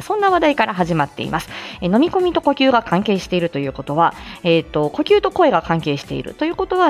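Lively woman hosting a chatty morning live show. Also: a little high. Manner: polite explanatory phrasing mixed with playful asides. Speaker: live audio show host; speech-language therapist. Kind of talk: casual morning live chat with announcements.

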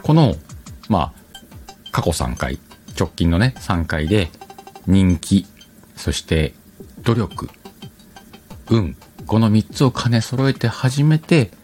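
A man's voice: lowish voice, sing-song, takes it slowly, pauses often, a little mellow, soft background music. A man hosting a casual advice talk, explaining conversationally and questioning his own phrasing as he thinks aloud. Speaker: podcast host.

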